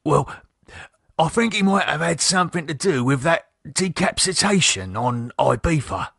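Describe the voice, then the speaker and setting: A man voicing an anxious working-class husband, speaking in nervous, halting, pleading bursts. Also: a little high, animated, gravelly, a bit bright, breathy edge, no echo, studio quality, pitched above the performer's own voice, exaggerated voice. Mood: worried.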